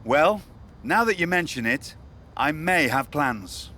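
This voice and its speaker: A man playing a smooth-talking, evasive wrestling show manager in a video game cutscene. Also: fairly high pitch, expressive, takes it slowly, sharp tone, a little hiss or hum, exaggerated voice.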